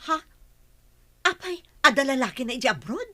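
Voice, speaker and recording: A woman's voice: high voice, very animated, brisk, sharp tone, heavily acted. A woman playing a prying, blunt acquaintance in a radio drama, incredulous in manner. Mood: nosy, teasing. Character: nosy, teasing, blunt acquaintance. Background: music.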